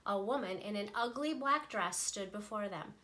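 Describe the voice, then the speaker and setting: A woman, a teacher, reading a children's picture book aloud with playful, expressive delivery. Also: mid-range voice, normal speed. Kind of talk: storybook read-aloud.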